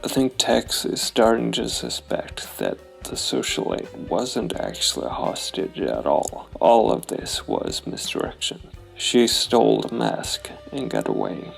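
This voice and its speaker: A man with a low, heavily creaky voice reasons through a role-playing story aloud. His intonation swings widely as he muses, and music plays faintly behind him. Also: low-quality recording. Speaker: solo role-playing game player and host. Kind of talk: musing tabletop game narration.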